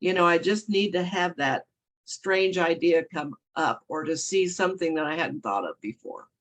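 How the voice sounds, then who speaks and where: A woman author talking casually in an interview, her voice hoarse and froggy from a cold, rambling and correcting herself as she goes. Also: fairly low pitch, moderate pace. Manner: rambling, self-correcting, chuckling often. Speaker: guest author.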